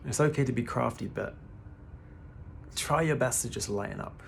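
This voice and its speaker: A man's voice: medium pitch, normal speed, creaky, slight background noise. A man in his thirties giving a casual, laid-back spiritual talk to the camera, often calling the listener "man" and swearing now and then. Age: 30s. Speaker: spiritual vlogger.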